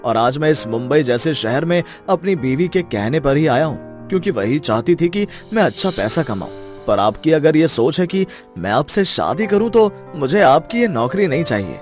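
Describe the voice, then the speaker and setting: A man's voice: on the high side, big pitch swings, normal speed, darkish tone, soft background music. A man playing a reluctant, reproachful young husband in a cartoon story, earnest and dramatic. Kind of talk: Hindi cartoon story acting.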